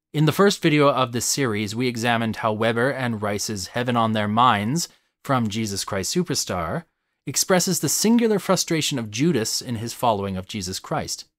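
A man reads a scripted video essay with a measured, analytical delivery. His pitch sits in the middle for a man. The sound is close and dry, with no room around the voice. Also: fairly bright tone, no echo.

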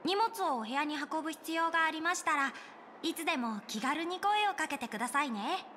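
A woman's voice: sits high, animated, fairly quick, bright, forceful tone, slight background noise. A woman voicing a polite, eager young hotel bellboy in a Japanese video game, speaking courteously. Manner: courteous, formal service speech.

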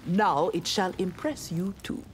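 An actress playing a proud, earnest young armored ninja woman in a video game, speaking in formal, measured, resolute lines. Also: lowish voice, a little hiss or hum, lightly acted. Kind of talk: dramatic video game dialogue.

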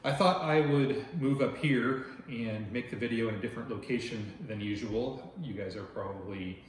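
A man in his forties from Oregon hosts an insect channel, chatting to the camera in a loud voice with lots of filler words. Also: on the low side, moderate pace. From Oregon, United States. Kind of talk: casual talk to camera. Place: dining room at home, indoors. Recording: very echoey room, faint noise.

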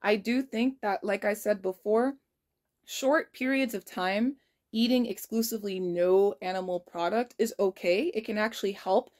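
A woman's voice: medium pitch, normal speed, darkish tone. Earnest young woman vlogging from her kitchen. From United States. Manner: earnest and reflective, frank, spells out numbers carefully. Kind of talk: casual diary vlog. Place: kitchen indoors. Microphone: phone held low at arm's length.

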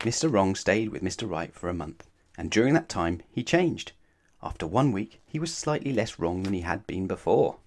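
A man reading a children's storybook aloud expressively, with dramatic, deliberate emphasis. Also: low-pitched, very animated, a little mellow. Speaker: storybook narrator.

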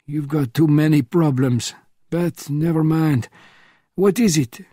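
A man reading an audiobook, voicing a wry, calm seventy-year-old French agent in a raised, lilting voice. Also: a little high, takes it slowly, no echo, studio quality, pitched above the performer's own voice.

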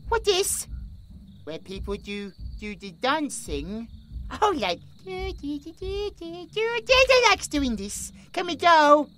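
A British man voicing a gentle, childlike possessed male creature who talks about himself in the third person with broken grammar. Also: extremely high pitch, very animated, takes it slowly, a little hiss or hum, exaggerated voice. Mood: cheerful, curious. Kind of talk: horror audio roleplay.

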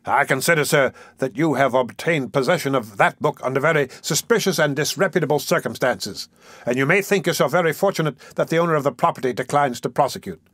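A man's voice: fairly high pitch, fast, a bit bright, no room sound. Man acting a pompous, barking, bad-tempered magistrate. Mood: stern, contemptuous. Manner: peremptory, barking, contemptuous. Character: pompous, irascible, bullying police magistrate, a man.

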